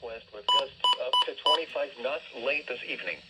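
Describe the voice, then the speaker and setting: A man's voice: mid-range voice, fairly quick. Noisy radio broadcast, male announcer. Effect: played through a radio speaker. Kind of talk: scripted marine forecast reading. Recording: noisy, dull weather radio speaker playback.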